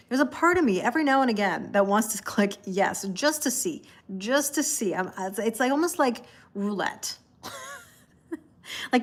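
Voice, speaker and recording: A woman from Canada hosting a tarot livestream, chatting casually and rambling as she answers viewers by name, often laughing. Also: medium pitch. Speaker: tarot reader and livestream host.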